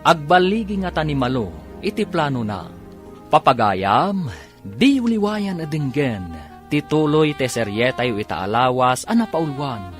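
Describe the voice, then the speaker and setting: Animated male radio drama announcer over music. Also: a little high. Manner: emphatic, drawn-out title announcements. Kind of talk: dramatic scripted narration. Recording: music behind the voice.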